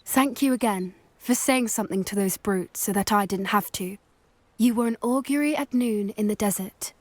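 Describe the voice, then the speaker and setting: A woman playing a gentle, earnest British teenage schoolgirl in scripted game dialogue, soft-spoken and fond of magical beasts. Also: medium pitch, normal speed, dry recording, lightly acted. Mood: grateful, warm.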